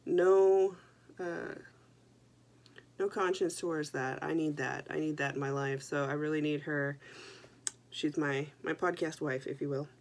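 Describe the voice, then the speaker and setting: A woman's voice: deep voice, fairly even intonation, measured pace. A young woman chatting casually to camera about her knitting, stuffy from a head cold, with joking asides. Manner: chatty, self-deprecating, jokey asides. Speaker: knitting podcast host.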